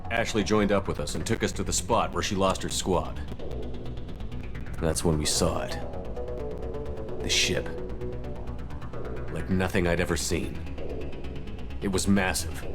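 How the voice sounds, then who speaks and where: A man playing a seasoned space soldier in a video game, recounting past missions in grave, measured, clipped phrases. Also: deep voice. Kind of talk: scripted first-person game narration.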